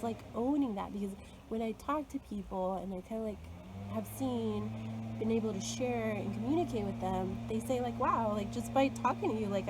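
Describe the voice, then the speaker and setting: A woman talking alone in a reflective, earnest way, coaching herself and her listeners through fear. She fills her speech with "like" and laughs softly now and then. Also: medium pitch, poor audio. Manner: earnest, self-coaching, frequent "like" fillers and soft laughs. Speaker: spiritual content creator. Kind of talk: reflective solo talk.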